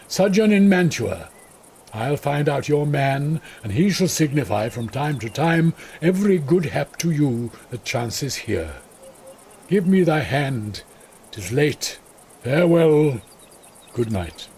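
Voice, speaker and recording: Fatherly, stern elderly friar, precise classical delivery, slightly high voice, radio drama. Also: very animated, takes it slowly, gravelly, darkish tone, slight background noise, full character voice. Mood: grave counsel. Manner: declamatory, precisely phrased.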